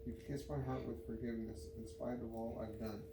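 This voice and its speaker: A man's voice: mid-range voice, steady tone, brisk. A man reading a psalm aloud as a scripture reader. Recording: roomy, distant mic, clear background noise.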